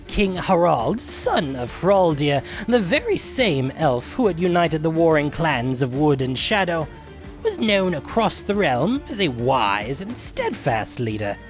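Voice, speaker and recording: Man playing a sly, theatrical trickster devil storyteller. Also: fairly high pitch. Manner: grandiose, sly, savoring words with dramatic pauses. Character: gleefully wicked trickster devil storyteller recounting his own saga. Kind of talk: theatrical scripted fantasy saga narration.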